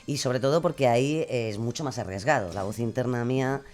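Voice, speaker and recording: A woman with a Spain Spanish accent explaining her craft in a casual, expressive way as a podcast guest. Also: a bass voice, brisk. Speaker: podcast guest, true crime narrator and creator.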